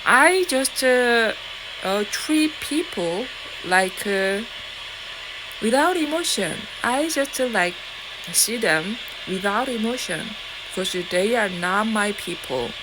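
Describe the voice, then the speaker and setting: A woman's voice: medium pitch, takes it slowly. A woman with a Korean accent talking straight to her viewers in a rambling, defiant way, swearing and full of fillers and restarts. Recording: loud steady background noise.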